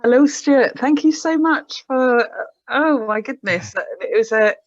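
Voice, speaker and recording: A woman's voice: sits high, unhurried, no echo. An English woman with a Surrey accent, asking a question over a video call in a bubbly, somewhat sheepish way. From England. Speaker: audience member asking a question. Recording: dry, dull video call audio.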